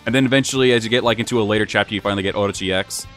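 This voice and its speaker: A young man chatting through a casual let's-play, rambling with many fillers, his voice a little hoarse and wearing thin. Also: lowish voice, racing, fairly bright tone, faint music behind. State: slightly hoarse, losing his voice. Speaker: gaming channel host.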